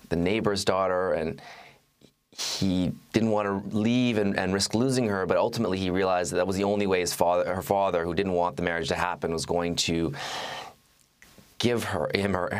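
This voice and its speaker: A man in his thirties speaking as an interview guest, recounting his reporting in a measured way with halting restarts. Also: deep voice. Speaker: guest, journalist and author. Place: TV studio. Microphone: lapel mic.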